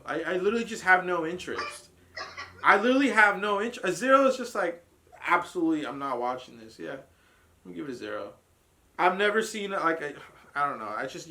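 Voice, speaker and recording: Bright-voiced man ranting with a laugh. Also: mid-range voice. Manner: blunt, profane, laughing rants. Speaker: podcast co-host reviewing a trailer. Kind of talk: casual group reaction chat.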